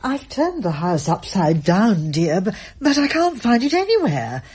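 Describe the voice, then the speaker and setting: An actress playing a caring older woman in a radio drama, husky, genteel and reassuring. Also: medium pitch, sing-song, measured pace, a little mellow. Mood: flustered.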